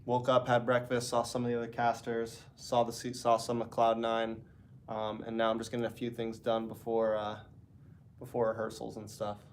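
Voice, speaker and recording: Man, stuffy nose, casual streamer chat, rambling, relaxed, hotel room. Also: medium pitch, moderate pace, a little hiss or hum. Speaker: esports caster.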